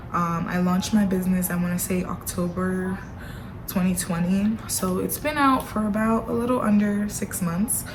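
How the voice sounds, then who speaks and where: A woman vlogger giving a casual, playful update straight to camera, full of asides to her viewers. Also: mid-range voice. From Haitian family background. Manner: chatty, playful, lots of y'all and baby girl asides. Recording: audible background noise.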